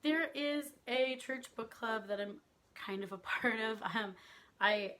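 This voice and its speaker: A young woman vlogging about books in casual chat, her voice still slightly hoarse as it recovers, laughing often as she talks. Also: fairly high pitch, a little slow, pushed and bright, slight background noise. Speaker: book vlogger.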